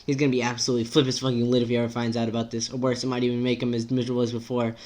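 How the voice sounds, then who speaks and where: A man's voice: medium pitch, little pitch movement, rapid-fire, a little mellow, natural voice. Man playing an anxious, exasperated teenage boy. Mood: worried, exasperated.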